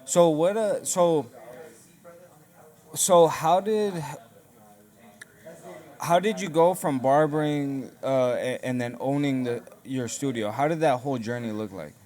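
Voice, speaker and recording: Male host from Sinaloa, medium pitch, easygoing questions and quick agreement. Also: mellow. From Sinaloa, Mexico. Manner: short agreeing replies, casual questions. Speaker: podcast host. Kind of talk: casual interview. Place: barbershop lounge.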